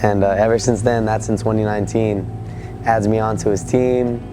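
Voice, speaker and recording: A hoarse man in his twenties, a boxer in an interview, talking in a halting way as he searches for words. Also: on the low side, little pitch movement, rough recording. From California. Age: 20s. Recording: with background music.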